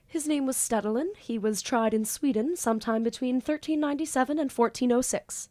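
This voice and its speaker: A woman's voice: sits high, brisk, mellow, natural voice. Woman acting as nerdy awkward student girl, casual and self-deprecating, roomy echo, audio drama. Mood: unsure. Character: awkward, eager young female student dabbling in demon summoning. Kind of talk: amateur audio drama acting with first-person narration. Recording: echoey room.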